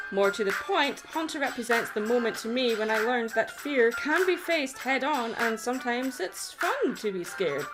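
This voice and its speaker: A woman narrating a scripted video essay in an expressive way, with conversational asides. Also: a little high, low-quality recording. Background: background music.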